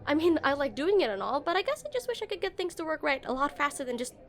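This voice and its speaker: A woman's voice: high voice, very animated, quick pace. A woman playing an awkward, shy female game developer on a dinner date in a romantic audio roleplay, flustered and hesitant. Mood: shy, friendly. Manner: flustered, hesitant, stammering, giggly.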